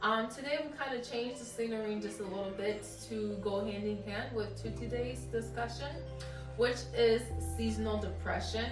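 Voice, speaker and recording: A woman's voice: on the high side, steady tone, moderate pace, roomy sound, music in the background, poor audio. A woman from Liberia chatting casually and reflectively as a vlogger, sharing personal thoughts in a candid, conversational way. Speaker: vlogger hosting a YouTube channel. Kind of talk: casual confessional chat to camera.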